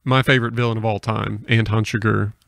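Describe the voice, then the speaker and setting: A man's voice: on the low side, flat delivery, brisk, gravelly, fairly bright tone, no echo. An American man hosting a casual podcast conversation, speaking into a close microphone. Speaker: podcast host.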